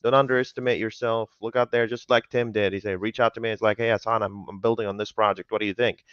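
A man in his thirties hosting a casual podcast interview over a video call, lively and laughing often, wearing a headset. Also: lowish voice, quick pace, clean tone, no echo. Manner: enthusiastic, laughs often, acts out quotes. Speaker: podcast host. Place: home office with monitors, indoors. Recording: dull video-call audio.

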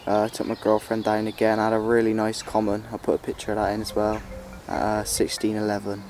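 Young man, low voice, hesitant casual talk, outdoor noise. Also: a little fast. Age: young adult. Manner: relaxed, hesitant with fillers. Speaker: angler vlogger. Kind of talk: casual fishing vlog.

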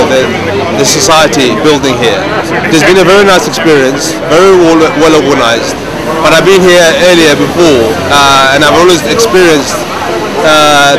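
A middle-aged man, a diplomat, speaking haltingly with repeated words outdoors on a city street. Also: fairly high pitch, poor audio. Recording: loud street noise.

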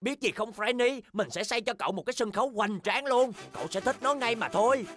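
A man's voice: very high voice, brisk, a bit bright. A man voicing a cheerful, boastful young bear in a language children's cartoon dub, exclaiming with enthusiasm. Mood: enthusiastic.

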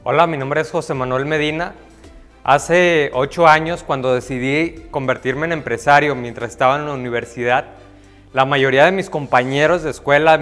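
Young man, measured and reverberant. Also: mid-range voice, fairly bright tone. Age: young adult. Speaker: company testimonial speaker. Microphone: lapel mic.

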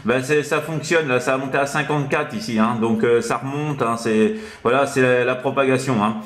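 A man's voice: medium pitch, steady tone, brisk, fairly bright tone. Roomy-sounding man chatting on amateur radio. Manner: hesitant, tags sentences with "hein". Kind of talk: casual radio contact chat. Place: indoors in an apartment, at a radio set.

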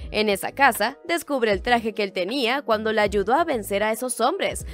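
High-pitched woman narrating a drama recap. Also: fairly bright tone. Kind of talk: scripted narration, storytelling plot recap. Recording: voice-over with music underneath. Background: background music.

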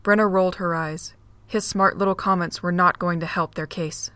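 A woman narrating a fan audio drama in a steady reading voice. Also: fairly low pitch, normal speed, no room sound. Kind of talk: storybook narration.

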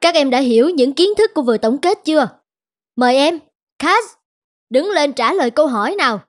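A woman playing a stern, middle-aged schoolteacher in a cartoon, brisk and admonishing. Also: sits high, expressive. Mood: demanding. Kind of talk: animated cartoon dubbing in Vietnamese.

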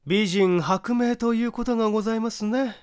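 Man putting on a high-pitched gossipy housewife. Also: normal speed, clear, resonant tone, dry recording, studio quality, close to an everyday voice. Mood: earnest pity. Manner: polite feminine speech, chatty, earnest. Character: gossipy, well-informed neighbor housewife, polite and earnest. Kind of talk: audiobook character voice in a mystery story.

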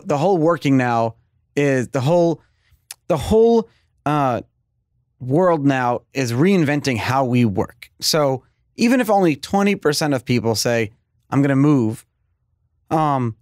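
A man in his thirties with a fairly high voice talks through a headset on a video call, close and dry with no room sound. He grew up speaking Hebrew, though English is his daily language now. He is chatty and curious, often restarting his sentences. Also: lively intonation, measured pace, darkish tone, no echo. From United States. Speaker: podcast host. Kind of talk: casual video-call interview. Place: office at home, small room indoors.

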